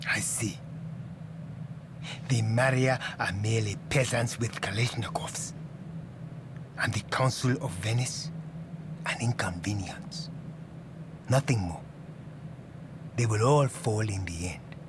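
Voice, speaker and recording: An actor playing a menacing, cold-blooded dealer in a video game, speaking deliberately and threateningly. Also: male voice, fairly low pitch, background noise. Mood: quiet menace. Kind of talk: dramatic video game voice acting. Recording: music behind the voice.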